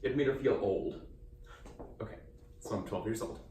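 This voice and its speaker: A man's voice: fairly low pitch, normal speed, dark tone, lightly acted. A man performing a theatrical solo monologue as a needy, troubled teenage boy magician who delivers showman patter and swings abruptly between coy and explosive. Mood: confiding, eager. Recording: roomy, distant mic, faint noise.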